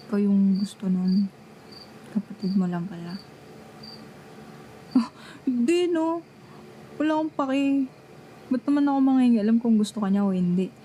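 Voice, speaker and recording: A woman's voice: mid-range voice, mellow, a little hiss or hum, natural voice, low-quality recording. A young woman acting a grumpy, jealous friend who feigns nonchalance in an ASMR roleplay, pouty and defensive, slipping casual English into her talk. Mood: awkward, embarrassed, then sulky, feigned indifference. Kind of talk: Tagalog ASMR roleplay acting, one-sided conversation.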